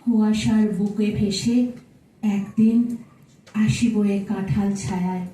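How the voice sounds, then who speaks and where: A woman giving a formal, declamatory poetry recitation with measured phrasing. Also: medium pitch, fairly even intonation, dark tone. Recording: very echoey room, distant mic, noisy.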